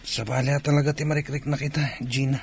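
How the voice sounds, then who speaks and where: A man's voice: medium pitch, level tone, fast talker, gravelly, breathy edge. An actor playing a frail, sickly young man in a radio drama, speaking wearily and plaintively. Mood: weary. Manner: plaintive, weary. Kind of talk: Filipino radio drama acting. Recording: noisy background ambience.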